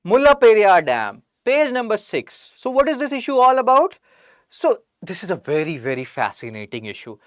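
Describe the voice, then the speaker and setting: A woman's voice: medium pitch, sing-song, normal speed, no echo. Indian english lady teacher, chatty and sarcastic, voice swoops all over, dry close sound. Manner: chatty, jokes and sarcastic asides; reads out student names from chat. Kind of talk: online exam-prep lecture.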